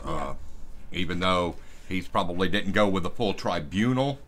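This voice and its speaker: A man's voice: low voice, moderate pace, fairly bright tone. A man co-hosting a live stream with casual, opinionated commentary. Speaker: co-host. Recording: live stream with clear background noise.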